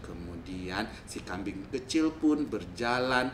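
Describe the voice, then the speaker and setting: Male storyteller for young children: slow, simple, slightly hesitant delivery, echoey recording with faint noise. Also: expressive. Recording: roomy echo, faint rumbling noise.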